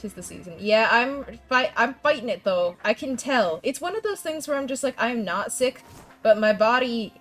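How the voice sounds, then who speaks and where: A woman's voice: fairly high pitch, animated, normal speed, soft background music. A young woman streaming a game and chatting with viewers, congested from a coming cold, rambling with restarts and laughs. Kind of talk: casual gameplay chat with viewers.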